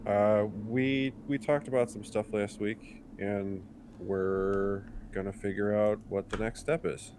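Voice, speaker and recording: A man's voice: on the low side, fairly even intonation, slow, hardly any pauses. A man chatting casually and a little hesitantly as a player on a tabletop roleplaying video call. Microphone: computer video call. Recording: noisy home call audio.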